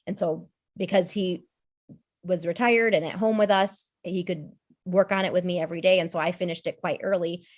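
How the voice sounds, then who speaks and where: A woman with a slightly low voice speaks as a podcast guest in a loose, conversational way. She is in her thirties and thinks aloud, circling back with fillers, restarts and small asides. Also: fairly even intonation, normal speed. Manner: thoughtful, rambling, many fillers and restarts. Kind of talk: casual conversational discussion.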